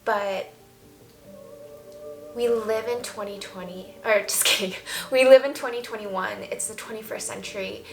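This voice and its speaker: A woman's voice: medium pitch, steady tone, a little slow. A woman speaks in a mid-range voice, sharing opinions in a casual vlog style. Her delivery is candid and emphatic. The room adds noticeable echo, and music plays faintly behind her. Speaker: vlogger. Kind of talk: casual opinion talk to camera. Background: soft background music.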